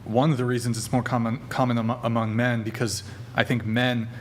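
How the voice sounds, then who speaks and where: A man hosting a podcast panel, joking and hesitant, with lots of fillers, speaking into a desk mic in a bright studio. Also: mid-range voice, flat delivery, a little fast, slight background noise. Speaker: podcast host. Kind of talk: casual panel chat. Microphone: desk mic on arm.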